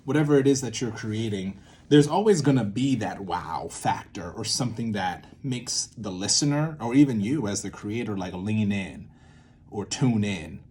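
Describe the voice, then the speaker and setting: A man's voice: fairly low pitch, expressive, normal speed, a little mellow. A man giving an informal, improvised tutorial, rambling playfully and thinking aloud with asides to himself. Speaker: theatre maker presenting a creative method series.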